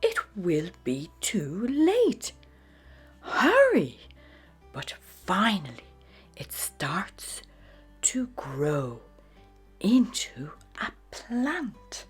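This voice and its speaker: A woman narrating a children's storybook in a dramatic, expressive read-aloud style. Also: medium pitch, drawn-out words, pauses often, breathy edge, soft background music. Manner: dramatic, with hushed phrases and sudden exclamations.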